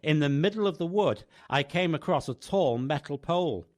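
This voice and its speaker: A man's voice: fairly high pitch, lively intonation, normal speed. A man narrating a scripted first-person radio piece as its host.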